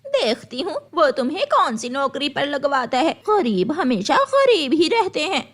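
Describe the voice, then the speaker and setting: A scheming auntie crow for a cartoon fable acted by a woman. Her voice is high and very animated. She is spiteful and sly, taunting as she meddles. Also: a little slow, exaggerated voice. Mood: mocking.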